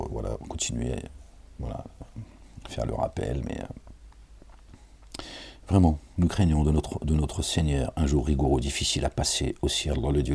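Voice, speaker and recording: Very deep, dark husky male preacher. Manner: casual, digressive, chuckles, addresses listeners directly. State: tired. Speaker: self-styled preacher reading and commenting on scripture. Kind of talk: informal scripture reading with commentary.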